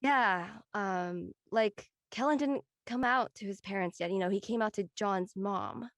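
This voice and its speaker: A woman with a medium-pitched voice chats reflectively as a panelist. She rambles a little and sounds tentative as she works through her thoughts. The sound is close and dry. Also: a little slow, fairly bright tone, dry recording. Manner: tentative, rambling, peppered with "you know". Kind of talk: casual reflective discussion.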